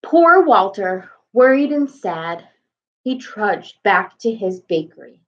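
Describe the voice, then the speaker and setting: A woman's voice: mid-range voice, very animated, slow. A young adult woman reading a children's bedtime story aloud expressively, indoors at home into a webcam. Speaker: storybook reader. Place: small room at home, indoors. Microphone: webcam at arm's length. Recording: slightly dull home webcam sound.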